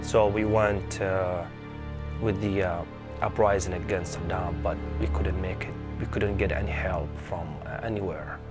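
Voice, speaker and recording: Iraqi man, native Arabic speaker, low register, recounting his own experience in interview, music underneath. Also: steady tone, measured pace. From southern Iraq. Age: middle-aged. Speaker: documentary interviewee, former refugee. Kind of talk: personal testimony in an interview. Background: background music.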